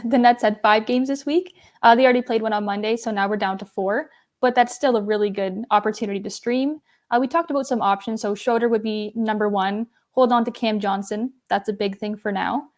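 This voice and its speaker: A woman co-hosting a fantasy basketball podcast, a little hoarse, casually agreeing with her partner and adding her own tips. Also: fairly high pitch. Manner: agreeable, quick to back up her co-host. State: losing her voice.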